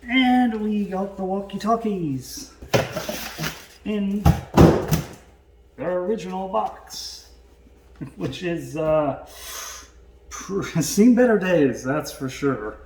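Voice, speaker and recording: A man's voice: high-pitched, lively intonation, extremely slow, pauses often. Casual man unboxing, faint background noise. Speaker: vlogger doing an unboxing. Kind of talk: casual unscripted product unboxing commentary.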